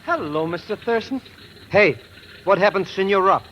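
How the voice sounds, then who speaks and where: Nervous comic sidekick, high-pitched and animated, vintage radio drama with hissy sound. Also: male voice, moderate pace, highs cut off. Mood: curious. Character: nervous, nosy male sidekick of a secret agent. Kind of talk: 1940s radio drama acting. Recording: noisy old radio recording.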